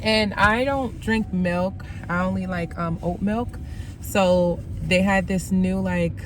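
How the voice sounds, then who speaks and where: Woman vlogger, slightly raspy, lowish voice, rambling filler-heavy chat, flavor, car noise behind. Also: steady tone, takes it slowly. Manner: rambling, many ums and likes. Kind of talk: casual vlog chat.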